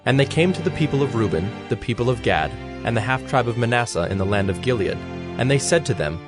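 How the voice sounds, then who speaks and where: A man giving a measured, formal narration of scripture as the narrator of a dramatized audio production. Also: medium pitch, lively intonation. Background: instrumental music with plucked strings.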